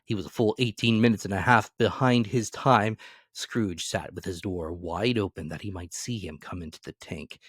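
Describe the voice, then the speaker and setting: A man narrating a classic novel as a dramatic reading, expressive and animated. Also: deep voice, moderate pace, slightly rough, no echo, clean studio sound.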